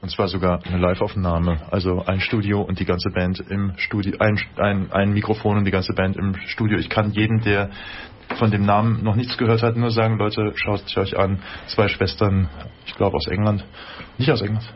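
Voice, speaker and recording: A man's voice: on the low side, flat delivery, moderate pace. A man co-hosting a radio show, chatting casually with a joking manner. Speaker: radio co-host. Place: radio studio. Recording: dull radio sound with faint noise.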